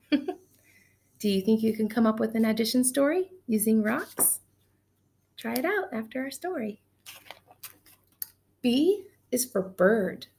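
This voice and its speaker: A woman's voice: on the high side, animated, takes it slowly, pauses often, a little mellow, slight background noise. A woman in her thirties teaching an online class, counting beats and reading a picture book aloud in an upbeat way, heard through a webcam in a small room. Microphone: webcam on video call.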